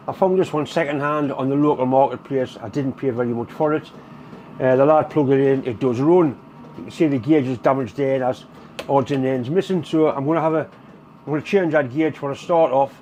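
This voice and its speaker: A man's voice: a little high, darkish tone, slight background noise. A man, hosting a casual workshop vlog while full of a cold. He talks in a plain, blunt, chatty way. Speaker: workshop channel host. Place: home workshop.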